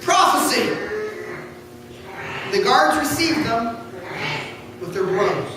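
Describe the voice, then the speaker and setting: A male pastor giving a solemn, dramatic scripture reading with measured, emphatic phrasing. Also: extremely high pitch, animated. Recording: echoey, noisy hall from far off.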